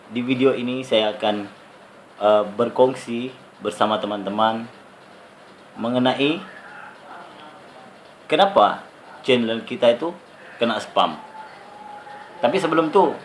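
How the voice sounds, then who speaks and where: A man's voice: mid-range voice, slight background noise, poor audio. A man from Sabah speaking with a Sabah Malay accent, giving a rambling, casual talk to his channel viewers. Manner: chatty, rambling, with many fillers. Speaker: YouTuber.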